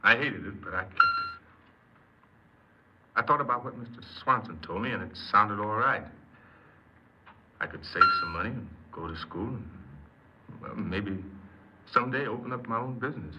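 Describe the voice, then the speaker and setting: A man playing a low-voiced, rueful young thief, noisy old radio. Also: normal speed, pauses often, close to an everyday voice. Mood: rueful, explaining. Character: impatient, self-justifying young male thief confessing his crime. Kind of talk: 1950s radio drama acting. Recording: muffled, very noisy 1950s radio recording.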